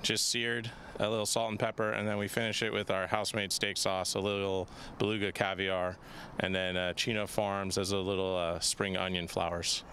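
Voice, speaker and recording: A low, flat-pitched man with a bright edge to his voice, calmly describing a dish as a chef. Faint outdoor ambience hums behind. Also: normal speed, slight background noise. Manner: matter-of-fact dish description. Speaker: restaurant chef, guest. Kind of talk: live TV interview. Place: outdoor patio set.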